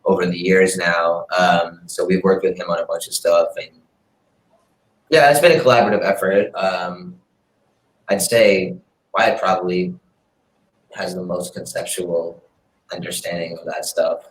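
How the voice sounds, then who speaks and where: A man with a very low voice talks in a relaxed, rambling way, full of fillers. He is a singer being interviewed. The sound bounces around a roomy space. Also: a little slow, long pauses. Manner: laid-back, rambling with fillers. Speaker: singer-songwriter guest. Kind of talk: casual interview chat. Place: room in a city apartment, street noise outside. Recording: roomy echo.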